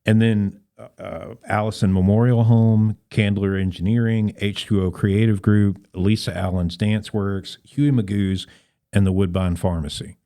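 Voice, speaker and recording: A man's voice: on the low side, fairly even intonation, unhurried, dark tone. A man speaking as a podcast guest and club volunteer, in plain, casual interview talk with a promotional bent.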